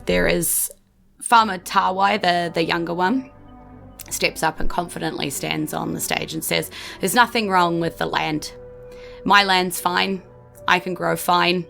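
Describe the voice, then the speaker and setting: New Zealand woman GM, low fry-edged voice, halting narration. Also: fairly bright tone. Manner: hesitant, full of fillers and restarts. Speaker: game master. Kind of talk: narrating a tabletop game.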